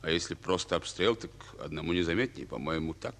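An actor playing a dutiful, resolute Soviet army captain in a radio drama, giving brisk, deferential military replies. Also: male voice, low voice, lively intonation, gravelly, fairly bright tone, heavily acted. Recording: old radio recording, long reverb.

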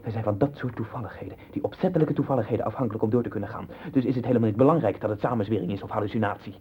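An actor playing a troubled, obsessively questioning man with a husky, breathy voice in a 1960s radio play. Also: on the low side, rapid-fire. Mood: agitated, searching. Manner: insistent, probing questions and fevered monologue. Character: troubled, searching man sinking into obsessive doubt. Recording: dull 1960s radio recording.

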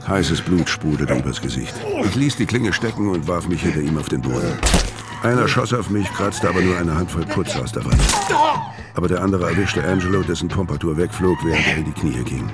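A man's voice: sits low, lively intonation, brisk. An actor playing a hard-boiled hitman who narrates a crime radio play in a terse, matter-of-fact way. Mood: grim, terse. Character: hard-boiled male hitman narrating his bloody escape. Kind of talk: scripted first-person noir radio drama narration. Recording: music behind the voice.

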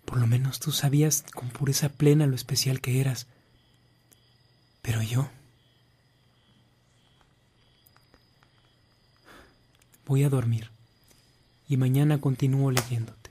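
A man's voice: mid-range voice, fairly even intonation, moderate pace, long pauses, warm tone, slightly rough. A man acting in a radio drama as an earnest, anxious young seminarian, reflective and devout. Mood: pensive.

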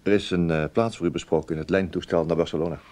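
Man acting a low-voiced, wry intelligence officer. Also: steady tone, fast, a little mellow, close to an everyday voice. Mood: tactful, reluctant. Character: wry, tactful male intelligence officer breaking bad news. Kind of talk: Dutch radio play acting. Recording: noisy old radio drama recording.

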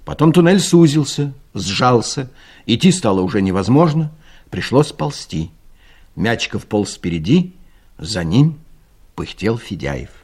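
A man's voice: mid-range voice, sing-song, unhurried, darkish tone, slightly rough. A man narrating a scripted comic story as the storyteller of an audio play.

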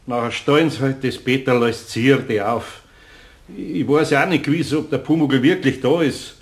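An actor playing a kindly, mild older master craftsman in a radio play, with a Bavarian accent and a gentle, hesitant manner. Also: medium pitch, moderate pace, lightly acted. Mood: mild, apologetic. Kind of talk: German radio play acting.

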